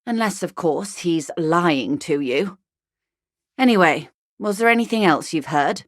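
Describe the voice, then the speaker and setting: A woman playing a composed, shrewd corporate negotiator in a video game, speaking in a measured, refined and dryly ironic way. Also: fairly low pitch, expressive, dry recording, clean studio sound. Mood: wry, probing. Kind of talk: video game character dialogue.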